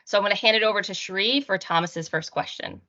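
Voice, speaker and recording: Bright-voiced woman hosting. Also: mid-range voice, quick pace, lo-fi sound. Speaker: host. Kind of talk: scripted show introduction.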